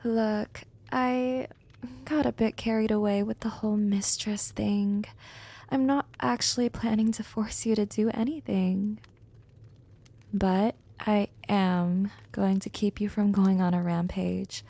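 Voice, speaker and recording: Slow, whispery, teasing vampire mistress, acted by a woman. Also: medium pitch, fairly even intonation. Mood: earnest, coaxing. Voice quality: soft, breathy, often dropping into whispers. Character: smug, teasing, gently dominant female vampire hypnotist. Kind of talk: ASMR roleplay, intimate monologue.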